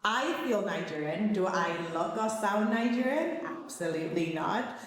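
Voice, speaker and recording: A woman's voice: mid-range voice, expressive, moderate pace. A woman with a British accent giving an unscripted interview, articulate and emphatic. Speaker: artist and foundation founder being interviewed. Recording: very echoey hall, faint noise.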